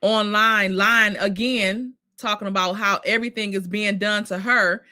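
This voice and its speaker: Bright, sassy woman host. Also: medium pitch, steady tone, measured pace. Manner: sassy, exasperated, laughs often. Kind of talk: casual live commentary on reality drama.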